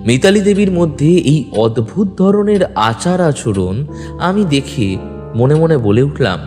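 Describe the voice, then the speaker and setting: Male audio-story narrator, mid-range, dramatic detective-fiction read, heavy reverb tail. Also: mid-range voice. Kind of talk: scripted first-person detective story narration. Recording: long reverb with music bed. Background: background music.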